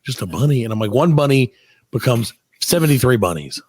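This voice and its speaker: A man's voice: mid-range voice, sing-song, fairly quick, darkish tone, slightly rough, no echo. A man co-hosting a comedy podcast, telling casual, joking stories, acting out bits of dialogue and swearing freely. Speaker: podcast co-host. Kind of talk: casual comedic chat and storytelling.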